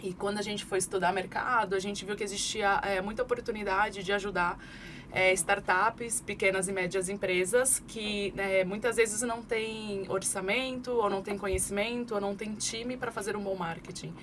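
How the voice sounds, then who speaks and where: A woman in her forties, from Brazil, a marketing expert guest on a podcast, explaining her career in steady, articulate speech with frequent fillers. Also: medium pitch, normal speed. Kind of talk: conversational podcast interview. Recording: clear background noise.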